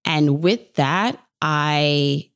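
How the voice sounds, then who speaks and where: A woman's voice: deep voice, very slow, clean tone, no echo, studio quality. A woman, talking casually and informally as an interview guest, telling stories in a chatty way. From born in Jamaica, grew up in New York. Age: 30s. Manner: chatty, informal storytelling with quoted self-talk. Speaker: podcast guest, personal finance podcaster.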